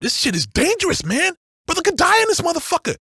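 A man's voice: extremely high pitch, wildly swooping pitch, fast talker, no room sound, clean studio sound, full character voice. A man voicing an aggressive, foul-mouthed, streetwise bank robber in a video game. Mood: nervous, casual. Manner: forceful, profane, streetwise slang. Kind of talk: video game combat barks.

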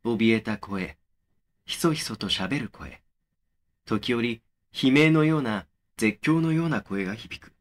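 A man playing a young man who narrates his eerie memories in a measured, matter-of-fact way for a horror audio drama. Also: mid-range voice, animated. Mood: brooding, matter-of-fact.

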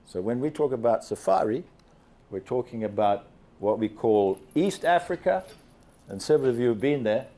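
An older man from Zambia holds a handheld microphone as he walks a seated audience through a slide presentation. He talks in an animated way and keeps asking the listeners questions, with a voice in a middle pitch. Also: normal speed. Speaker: guest speaker. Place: large banquet hall with seated audience.

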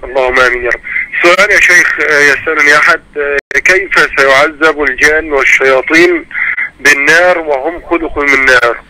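Super fast male caller, sharp bright tone, eager, noisy phone line. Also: on the high side, steady tone. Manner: rapid, eager, formal greetings.

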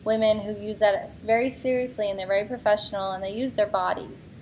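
A woman in her thirties, an artist talking through her own work on a video call, thoughtful and halting, with many fillers and restarts. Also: medium pitch, steady tone, a little mellow, a little hiss or hum. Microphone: video call webcam.

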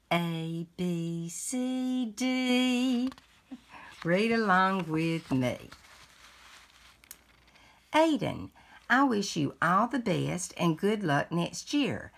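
An older woman reading a picture book aloud for young children, pronouncing it word by word in a deliberate way. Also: on the low side, gravelly. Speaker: read-along host. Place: indoors at home.

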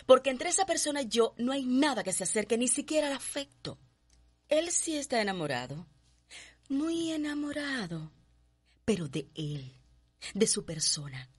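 A proud young Cuban heiress in a radio drama. She is imperious and cutting, in a highish, slightly husky voice with lively swings. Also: moderate pace, full character voice. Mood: cool, defiant. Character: haughty, headstrong young planter's daughter.

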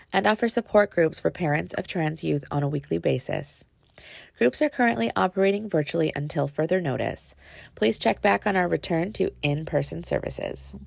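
A woman's voice: low voice, gravelly, no room sound, clean studio sound. A woman, a youth development specialist, reading a scripted presentation over slides on a webcam.

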